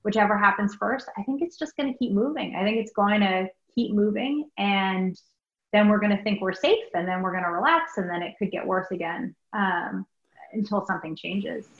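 A middle-aged American woman, a guest doctor answering interview questions in a thoughtful, halting, conversational way. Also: medium pitch. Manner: thoughtful, halting, peppered with like and you know. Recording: roomy, dull lo-fi remote recording.

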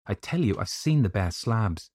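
A highly strung married man caught in a ghost story, played by a male reader. His voice is low and recorded dry, with an earnest, defensive, agitated manner suited to audiobook dialogue. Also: lively intonation, moderate pace, a little mellow, husky, no echo. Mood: frantic.

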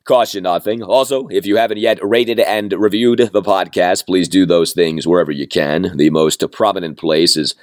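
A man hosting a sports podcast with a hoarse, fading voice from a cold, running through topics in a plainspoken, self-deprecating way. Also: sits low, steady tone, moderate pace, hardly any pauses, a little mellow, no echo, studio quality. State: hoarse, losing his voice from a cold. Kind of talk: solo sports podcast talk.

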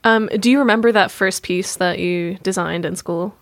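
A woman's voice: medium pitch, moderate pace, no room sound. A woman hosting an interview, asking questions and encouraging her guest. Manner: encouraging, laughs and backchannels. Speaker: host.

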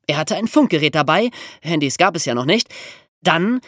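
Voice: medium pitch, normal speed, no room sound. A podcast host narrates in a chatty, colloquial style, posing questions and then answering them. The intonation moves up and down with plenty of life. The recording is dry, with no audible room. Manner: animated, colloquial, rhetorical questions. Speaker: podcast host telling true crime stories. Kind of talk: conversational storytelling narration.

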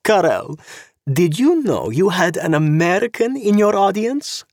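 Male narrator voicing a sharp, condescending woman, high and husky, close and dry. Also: big pitch swings, unhurried, dry recording, exaggerated voice. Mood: mocking. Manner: mocking, condescending. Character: cold, sharp-tongued sneering woman.

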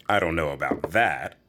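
A man's voice: very deep voice, pushed and bright, slight background noise, full character voice. A man playing an easygoing, dismissive suburban husband in a naturalistic audio drama, laid-back and reassuring.

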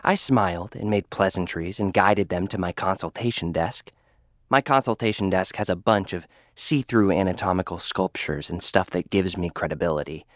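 A man's voice: low-pitched, no echo, clean studio sound. A man narrating a scripted audio drama in first person as an arrogant scientist, condescending and dryly sarcastic. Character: arrogant male scientist who builds people. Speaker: narrator and lead character.

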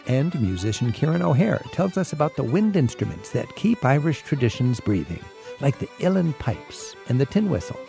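Lively gravelly male travel radio host. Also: mid-range voice.

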